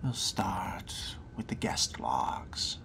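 A man voicing a sly, haughty vampire fledgling who muses to himself in a hushed, gravelly, theatrical whisper during a game role-play. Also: low-pitched, very animated, heavily acted. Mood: wry musing. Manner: slow, theatrical, wry, formal wording.

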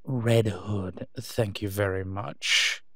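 A man's voice: lowish voice, fairly even intonation, takes it slowly, a bit bright, no echo, exaggerated voice. A man playing a brash, sarcastic masked vigilante with dry, taunting banter in an audio roleplay. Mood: mocking.

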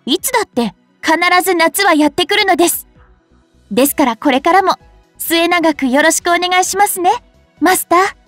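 A woman's voice: extremely high pitch, moderate pace, fairly bright tone, no room sound. A woman voicing a cheerful, devout young maiden for an anime mobile game, speaking politely and earnestly. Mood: cheerful, sweet. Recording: studio voice over game music. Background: game music.

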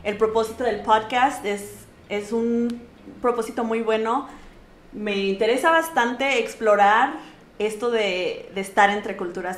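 A young woman from Mexico, co-hosting a podcast in casual conversation, talking freely and correcting herself as she goes. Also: mid-range voice. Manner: chatty, self-correcting, thinks aloud. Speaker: podcast co-host. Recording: echoey room.

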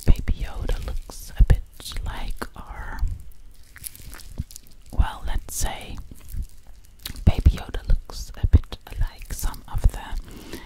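A woman's voice: slow, poor audio. A woman whispering breathily and soothingly, close to the microphone in ASMR style, repeating words over and over. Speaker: ASMR creator.

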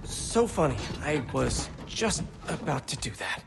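Game superhero, young American male: highish, very animated, self-deprecating quipster, loud score underneath. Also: measured pace, vocal fry, exaggerated voice. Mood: awkward. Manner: quippy, hesitant asides. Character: young wisecracking masked superhero, eager and awkward. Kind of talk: video game cutscene acting. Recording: loud music behind.

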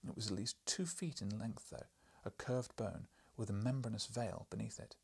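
A man's voice: lowish voice, moderate pace, gravelly, darkish tone, breathy edge. A man in his thirties reading literary first-person narration for an audiobook. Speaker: audiobook reader. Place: small book-lined living room, indoors.

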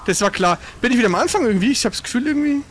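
A gamer giving casual, colloquial commentary on their own play, exclaiming readily and swearing mildly, with an excitable manner. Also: medium pitch, very fast, slight background noise.

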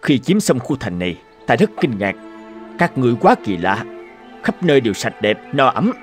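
An actor voicing a proud, stern conquering emperor in a cartoon, with an imperious, declamatory manner. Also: male voice, medium pitch, very animated, normal speed, soft background music, natural voice. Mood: puzzled, commanding. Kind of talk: dubbed cartoon dialogue.

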